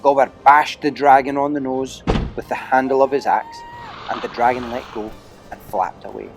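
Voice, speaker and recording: A man's voice: medium pitch, fairly even intonation, normal speed, over music. A man narrating an adventure audiobook as a dramatic, theatrical storyteller with emphatic delivery.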